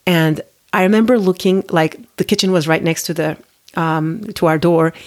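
A woman in her forties from Turkey, hosting a podcast and telling her own story in a reflective, conversational way with restarts and fillers. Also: fairly low pitch. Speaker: podcast host. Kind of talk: personal storytelling monologue.

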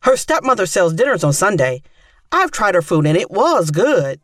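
A woman's voice: mid-range voice, very animated, normal speed, no echo, soft background music. A woman playing a firm, chatty mother in an audio drama. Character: firm, chatty Southern mother, middle-aged. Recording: dry but distant from microphone.

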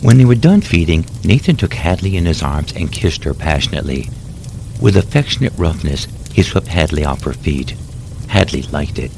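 A man reads his own horror story aloud, measured and dramatic. His voice is extremely deep yet moves through lively rises and falls. A steady noisy hiss sits behind the reading. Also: vocal fry. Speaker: author and narrator.

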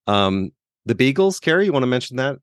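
A man's voice: medium pitch, very animated, a little fast, clean tone, dry recording, studio quality. A man co-hosting a podcast in casual chat, speaking in an enthusiastic, colloquial way. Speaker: podcast co-host.

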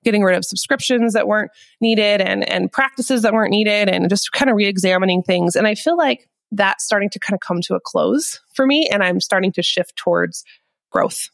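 A woman's voice: mid-range voice, moderate pace, vocal fry, no echo. A woman podcast host with a head cold, talking in a nasal, upbeat and chatty way to her listeners. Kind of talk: casual podcast chat.

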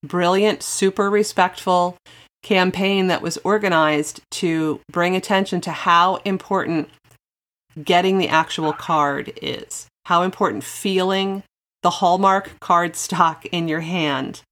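A woman's voice: lowish voice, slow pace, a bit bright. A woman hosting a conversational podcast interview in an encouraging, affirming way. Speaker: podcast host.

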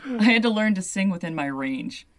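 A young adult woman with a deep voice, hosting a podcast and telling a story in a loose, chatty way full of "like" asides. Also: animated, normal speed. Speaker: podcast host. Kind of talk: casual storytelling chat.

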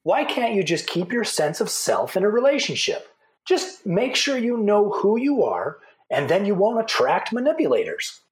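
Audio drama: flippant doubting man on a debate panel, lively intonation, dismissive yet easily rattled, roomy sound. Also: high-pitched, moderate pace. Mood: skeptical, dismissive. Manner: hesitant, casual, dismissive. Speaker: debate guest.

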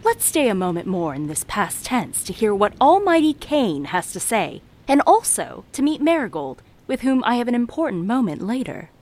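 An actress playing a narrator in an audio drama, addressing the listener in a confiding, theatrical way. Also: female voice, fairly high pitch, big pitch swings, moderate pace, few pauses, darkish tone. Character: intimate female storyteller who addresses the listener directly.

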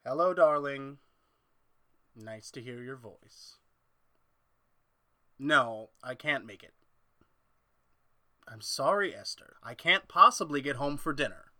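A man's voice: medium pitch, animated, slow, pauses often, sharp tone, dry recording, clean studio sound, full character voice. A man playing an obsessed, domineering mad scientist in an old-time radio horror play, with a theatrical, gloating manner. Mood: placating.

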